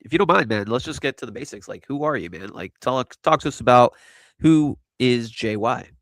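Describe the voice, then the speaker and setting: A man's voice: mid-range voice, animated, brisk, a bit bright, no room sound. A man hosting a casual live crypto chat, rambling and laid-back with lots of fillers, stuffy from allergies. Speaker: host.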